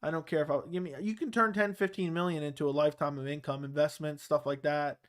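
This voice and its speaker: Smooth-voiced Baltimore man chatting casually. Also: a little high, steady tone, quick pace. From Baltimore area. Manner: loose, rambling, with fillers and restarts. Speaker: host of a live chat show. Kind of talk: casual live chat reading viewer comments.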